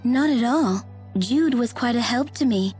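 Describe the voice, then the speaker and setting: High, coquettish spirit maiden. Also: female voice, lively intonation, unhurried, darkish tone, faint music behind. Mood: sweet. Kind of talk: English video game dub acting.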